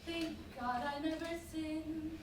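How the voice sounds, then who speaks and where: Lady playing pious self-satisfied goody-goody singing a cappella, very high, huge echo. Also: fairly even intonation, slow, background noise. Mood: smug, pious. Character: smug, self-righteous, churchgoing model of virtue. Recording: very echoey live stage, distant mic.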